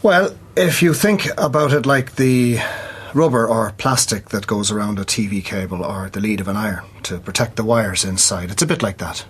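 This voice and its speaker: An actor playing a candid, careful hospital consultant who explains things hesitantly in a radio drama. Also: male voice, lowish voice, normal speed, few pauses, breathy edge. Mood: measured. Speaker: doctor.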